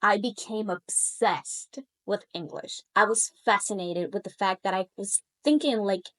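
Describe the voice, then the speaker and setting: A woman in her thirties from Colombia, a native Spanish speaker with a Colombian accent, giving an upbeat, persuasive webinar pitch about learning languages. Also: measured pace, fairly bright tone, poor audio. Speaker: Spanish coach. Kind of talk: webinar pitch and personal story.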